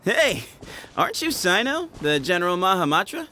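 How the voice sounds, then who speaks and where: Sly, teasing gang leader. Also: male voice, high-pitched, very animated, normal speed, sharp tone, slight background noise, exaggerated voice. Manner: teasing, playful and evasive. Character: sly smirking leader of a hidden band of men. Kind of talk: video game voice acting.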